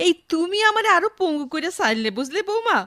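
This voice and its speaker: An actress playing an elderly, grumbling yet doting village grandmother in a Bengali radio drama, with a rural Bangladeshi accent and drawn-out, expressive speech. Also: very high voice, no room sound, exaggerated voice.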